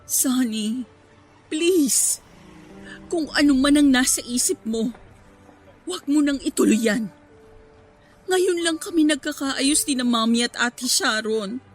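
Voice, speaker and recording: A woman's voice: high voice, animated, takes it slowly, long pauses, soft background music. A woman playing a guarded, firm single mother in a radio melodrama, emotional and defensive, with a Filipino accent. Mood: pleading.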